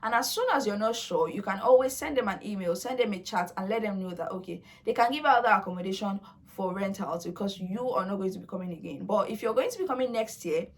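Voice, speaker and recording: A woman's voice: mid-range voice, quick pace, few pauses. A young woman whose first language is Yoruba, talking casually to her viewers in a chatty, coaxing way with playful asides. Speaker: vlogger. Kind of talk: casual talk to camera.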